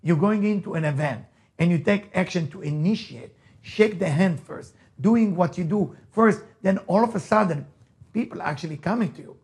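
A man's voice: high-pitched, normal speed, darkish tone. A middle-aged man from Israel with an Israeli accent, a native Hebrew speaker, giving an emphatic, repetitive motivational talk.

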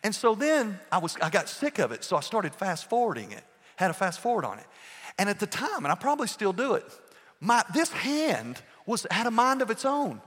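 A pastor preaching a sermon, emphatic and full of rhetorical questions. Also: male voice, sits high, wildly swooping pitch, moderate pace, a bit bright, slightly rough. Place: church sanctuary. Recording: long reverb in a church hall.

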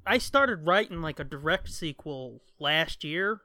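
A man's voice: fairly high pitch, lively intonation, unhurried, bright, forceful tone, dry recording. A man co-hosting a podcast in casual, rambling and casually profane chat. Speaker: podcast co-host.

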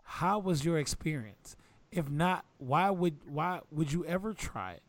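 A man co-hosting a podcast in casual chat, animated and quick to jump in. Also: on the high side, dry recording. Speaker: podcast co-host.

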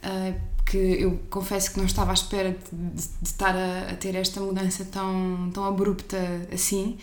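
A woman's voice: mid-range voice, moderate pace. Chatty Portuguese woman in an echoey room. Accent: European Portuguese. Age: 20s. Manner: chatty, animated storytelling with restarts. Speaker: podcast guest. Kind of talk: casual conversation.

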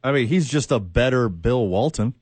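A man's voice: mid-range voice, expressive, moderate pace, a little mellow, slightly rough, no echo. A man co-hosting a comedic sports radio chat, throwing in dry quips. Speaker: co-host.

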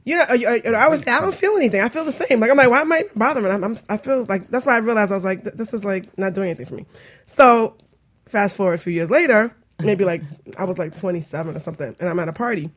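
A woman in her thirties co-hosting a radio talk show, telling a story quickly and expressively. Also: mid-range voice. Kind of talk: casual chat.

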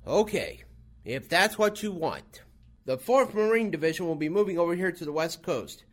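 Man acting Marine officer, high voice, very animated intonation, authoritative, stern, radio play. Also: moderate pace. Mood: resigned. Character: stern but obliging male Marine superior officer. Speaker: actor in an amateur radio drama.

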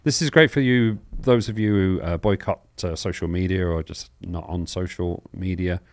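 This is a man with a fairly deep voice hosting a live call-in style program. He talks informally and welcomingly, moving through announcements and explanations in a chatty broadcast manner. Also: normal speed. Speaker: host of a live Bible question show.

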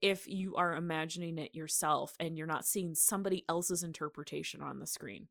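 A woman podcast host chatting casually in a halting, informal way, often dropping in "like" as she thinks aloud. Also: low voice, creaky, fairly bright tone, no echo, studio quality. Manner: halting, informal, filler-heavy with "like". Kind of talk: casual chat about horror radio.

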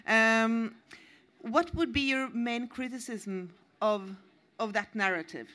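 Panel moderator with a bright, forward voice, halting and self-correcting while posing questions. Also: mid-range voice.

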